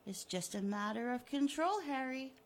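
A woman voicing a sadistic man who taunts his victim in a soft, mocking, drawn-out way. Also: high voice, lively intonation, a little hiss or hum, voice pushed up. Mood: taunting. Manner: mocking, softly menacing, drawn out. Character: gloating sadistic male killer, taunting.

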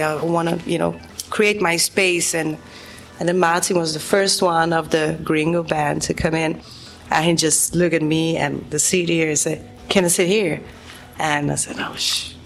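A woman's voice: lowish voice, flat delivery, moderate pace. Chatty Brazilian-born woman musician. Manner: chatty, full of fillers. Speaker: guest musician. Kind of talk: casual interview chat.

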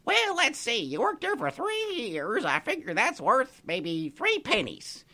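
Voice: huge pitch swings, sharp tone, exaggerated voice. A stingy little old lady. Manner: high, drawling, slow and thrifty.

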